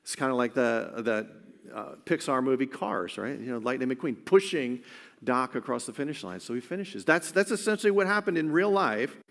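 A man's voice: medium pitch, lively intonation, moderate pace, creaky. Man preaching in a reverberant hall. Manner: earnest, conversational preaching with frequent restarts. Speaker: pastor. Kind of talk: sermon.

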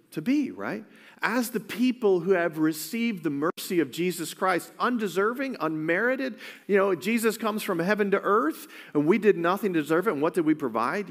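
A man's voice: fairly high pitch, long reverb tail. A man preaching a sermon in an earnest, conversational way, often restarting his phrases. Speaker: pastor.

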